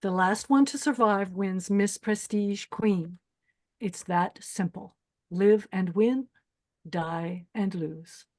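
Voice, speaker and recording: A woman's voice: medium pitch, takes it slowly, darkish tone. A woman playing a cold, commanding older pageant organizer with a menacing, clipped manner in a screenplay table read. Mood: coolly menacing.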